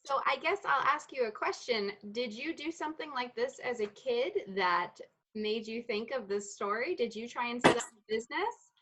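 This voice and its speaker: A woman's voice: a little high, animated, moderate pace, background noise. A woman hosting a storytime, casually asking questions and relaying comments from viewers. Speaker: bookstore storytime host.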